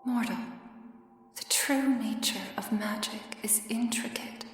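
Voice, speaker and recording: A woman playing a grieving mystical elder mentor in a scripted ASMR roleplay, speaking solemnly in breathy half-whispers with formal, archaic wording. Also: mid-range voice. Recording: very echoey hall reverb, music underneath. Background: music.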